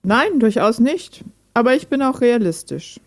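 A woman's voice: fairly high pitch, big pitch swings, unhurried. A woman playing a lonely, reserved hobby programmer in an audio drama, speaking in a dry, direct way. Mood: resigned, candid. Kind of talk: amateur audio drama dialogue, read chat.

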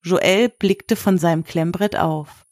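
Measured woman narrator, very dry sound. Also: on the low side, steady tone, a little mellow, no echo. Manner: measured, literary reading. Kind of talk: scripted story narration.

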